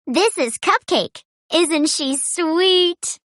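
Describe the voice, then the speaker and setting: A woman's voice: extremely high pitch, extremely slow, fairly bright tone, no room sound, clean studio sound, pitched above the performer's own voice, full character voice. A woman voicing a whiny, prissy young schoolgirl in a children's storybook. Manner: whiny, sugary.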